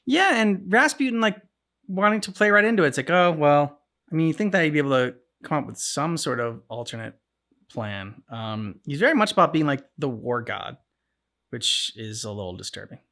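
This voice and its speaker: A man's voice: on the high side, very animated, moderate pace, bright, forceful tone. A man hosting a reaction show, chatting casually and thinking aloud as he goes. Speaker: reaction channel host.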